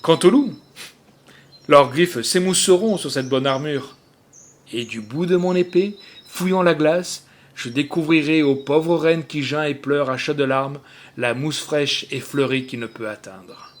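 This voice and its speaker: A man's voice: on the high side, unhurried, lightly acted. A man voicing a proud, fearless young knight in a grand, declamatory storybook manner. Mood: bold, resolute.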